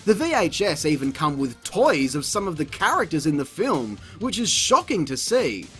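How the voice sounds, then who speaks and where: Sarcastic, animated man reviewing cartoons. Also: fairly high pitch, moderate pace, faint music behind. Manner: sarcastic, profane, exasperated. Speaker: video reviewer. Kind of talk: comedic review narration.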